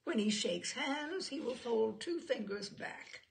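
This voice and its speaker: A woman reading literary humour aloud in an expressive, theatrical and wryly amused manner. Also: fairly high pitch, slow pace, gravelly. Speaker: reader of humour stories.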